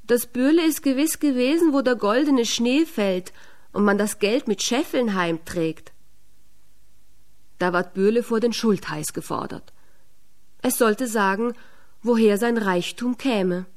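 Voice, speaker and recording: Woman storyteller reading a fairy tale. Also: on the high side. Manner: measured, expressive storytelling. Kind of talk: fairy-tale narration for radio drama.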